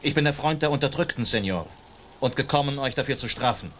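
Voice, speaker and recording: A man's voice: medium pitch, expressive, quick pace, a bit bright, a little hiss or hum, lightly acted. An actor playing a suave, self-assured nobleman in a radio play, speaking with polite composure. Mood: cool, challenging. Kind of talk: German radio play acting.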